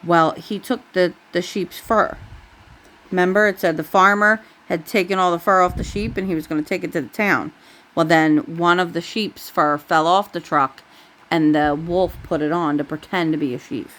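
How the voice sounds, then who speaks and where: A woman's voice: on the low side, fairly even intonation, moderate pace, a bit bright, rough recording. A woman reading a bedtime story aloud to a child, with casual asides along the way.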